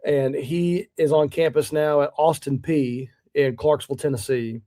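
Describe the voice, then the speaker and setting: A man's voice: on the high side, fairly even intonation, normal speed, dark tone, no room sound, studio quality. A man who works as a sports announcer, talking casually as an interview guest in an easygoing, modest way.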